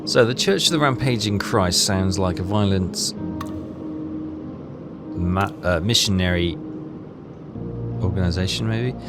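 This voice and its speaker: A man's voice: low voice, unhurried, long pauses. A man doing casual gameplay commentary, thinking aloud in a tentative, self-questioning way. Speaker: game player and commentator. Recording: game music behind the voice.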